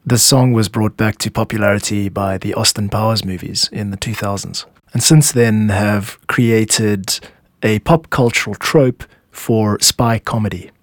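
A man's voice: fairly low pitch, fairly even intonation, unhurried, no room sound, studio quality. A man hosting a show as a music composer, giving scripted explanatory narration. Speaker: podcast host and music composer.

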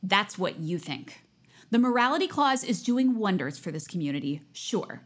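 A woman playing a zealous, nosy high school senior in an audio drama, pushy and earnest in a self-righteous way. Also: very animated, moderate pace. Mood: scheming, self-righteous.

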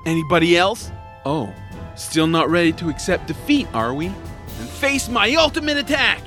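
A man playing an excitable, kind-hearted young boy hero in a family audio drama, with an earnest, playful delivery. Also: sits high, normal speed, soft background music. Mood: excited bravado.

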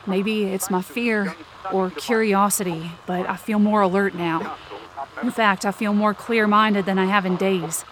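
A woman's voice: mid-range voice, steady tone, unhurried, crowd noise. A woman performing scripted first-person fiction narration as a tense, searching inner monologue.

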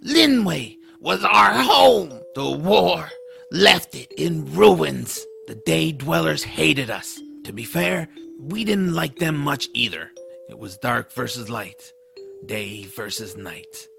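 Give this to a defiant troll, once a dark elf, now a bitter runaway slave. His voice is heavily gravelly with a sharp, forceful edge. He talks with sardonic indignation, as in a fantasy audio drama. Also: on the high side, big pitch swings, slow pace, soft background music, full character voice. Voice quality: gravelly, rough. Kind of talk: audiodrama character acting.

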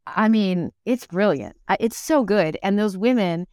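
A woman of fifty speaks in a clear, smooth voice with a medium pitch. She talks conversationally as an interview guest, animated and emphatic, and the sound is close and dry. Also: measured pace, no echo. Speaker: interview guest, stage actor in musicals and plays.

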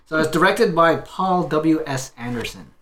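A man's voice: fairly high pitch, lively intonation, moderate pace, a bit bright. A man co-hosting a casual movie review, talking informally in short phrases. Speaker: co-host of a movie review show. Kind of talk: casual group review chat.

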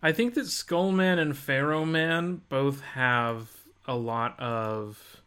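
A man's voice: mid-range voice, slow, fairly bright tone, smooth. A man co-hosting a podcast, talking casually about video games. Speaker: podcast co-host.

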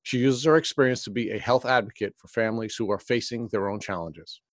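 A man's voice: on the low side, brisk, no echo, studio quality. A man hosting an interview program, reading a scripted introduction and putting questions to a guest. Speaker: interview host.

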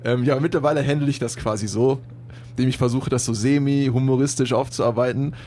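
Young guy hosting a chatty podcast, mid pitch, laughing and joking, lots of ums. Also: fairly even intonation, a little fast, mellow. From Germany. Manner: joking, laughs often, many fillers. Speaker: podcast host. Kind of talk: casual podcast chat.

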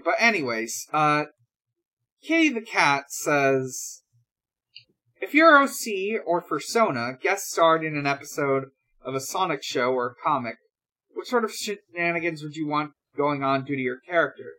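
Casting: female podcast host, informal and hesitant, reading out questions and chatting in short halting bursts. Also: low voice, fairly bright tone. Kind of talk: casual chat.

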